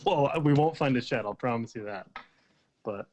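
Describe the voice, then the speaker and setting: A man's voice: medium pitch, normal speed, a little mellow, lo-fi sound. A man chatting casually as a caller on a live podcast. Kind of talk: casual chat.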